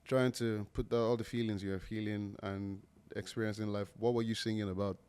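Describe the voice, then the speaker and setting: Male podcast host. Slightly low voice, casual and jokey, rambling interviewer. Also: level tone, brisk, darkish tone, clear, resonant tone. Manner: joking, rambling questions with restarts.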